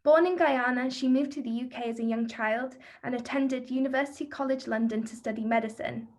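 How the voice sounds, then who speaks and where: A woman's voice: sits high, brisk. A woman narrating a scripted introduction, reading aloud with a few small stumbles. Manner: read-aloud, slight stumbles.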